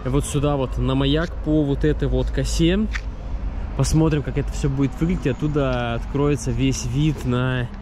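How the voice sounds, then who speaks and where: A man's voice: mid-range voice, steady tone, moderate pace. A man in his thirties chatting casually as he walks, filming himself with a camera at arm's length outdoors by a seaside harbor. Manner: chatty, rambling, talks while walking. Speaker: travel vlogger. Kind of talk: casual walking vlog. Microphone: camera held at arm's length. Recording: outdoor wind and street noise.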